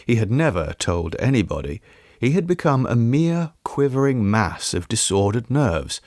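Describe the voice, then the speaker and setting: A man narrates an eerie literary tale in a measured, storytelling manner. His voice sits on the low side and moves expressively through a wide range of pitch. The recording is dry, with hardly any room sound. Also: no room sound. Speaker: audiobook reader.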